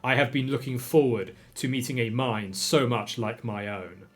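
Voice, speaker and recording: A man voicing a tall masked dark lord who speaks in a deep, rumbling, grave way. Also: normal speed, close to an everyday voice.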